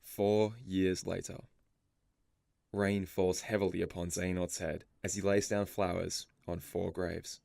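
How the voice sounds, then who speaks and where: A man reading scripted story explainer narration in a measured, storytelling delivery. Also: deep voice, little pitch movement, gravelly, dark tone, no room sound, clean studio sound. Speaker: video narrator. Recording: music behind the voice. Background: background music.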